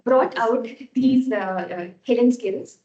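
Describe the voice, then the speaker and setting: A soft-spoken woman speaks slowly and hesitantly, giving an impromptu speech. Her voice sits a bit high. The sound is muffled and echoey, as if picked up across a room. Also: fairly even intonation. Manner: slow, hesitant, laughs often.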